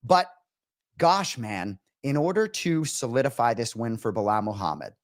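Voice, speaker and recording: A man co-hosting a casual sports talk show, rambling and animated, a little nasal from a cold, tagging his points with "right?". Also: medium pitch, moderate pace. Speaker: co-host. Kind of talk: casual sports radio chat.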